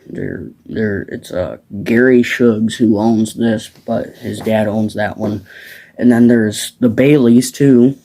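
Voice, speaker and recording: A man's voice: fairly low pitch, fairly even intonation, slow, warm tone, rough recording. A teenage boy from the Midwest, rambling casually about farms outdoors at dusk, with lots of fillers and restarts. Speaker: farm vlogger.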